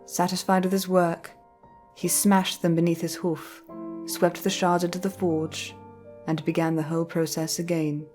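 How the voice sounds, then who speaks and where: A woman storyteller reading scripted fiction narration in a measured, careful way. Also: lowish voice, level tone, darkish tone, faint music behind.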